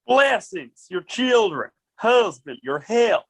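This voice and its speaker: A stern exorcist acted by a woman, slow and deliberate, with a gravelly creak in the voice. The manner is solemn, as in a radio play. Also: mid-range voice, big pitch swings, exaggerated voice. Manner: measured, deliberate. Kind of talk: amateur radio play acting.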